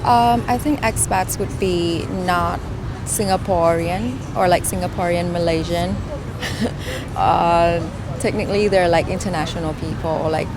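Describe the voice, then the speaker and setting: A woman's voice: lowish voice, steady tone, unhurried. A woman interviewed on the street, chatting casually with lots of "like" and a giggly manner. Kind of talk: casual chat. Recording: street recording with clear background noise.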